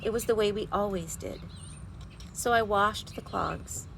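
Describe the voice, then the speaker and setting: A woman reading a children's storybook aloud in an expressive, animated way with dramatic pauses. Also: medium pitch, vocal fry. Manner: animated, dramatic pauses, chanting sound words. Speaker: librarian reading picture books at storytime. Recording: noisy home recording.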